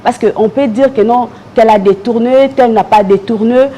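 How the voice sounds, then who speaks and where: A Congolese woman speaks as a political guest in French with a Congolese accent. Her tone is dark and relaxed, and her answers are measured with some hesitation. Faint noise and ambience sit behind her. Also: fairly high pitch. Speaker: political party member, guest.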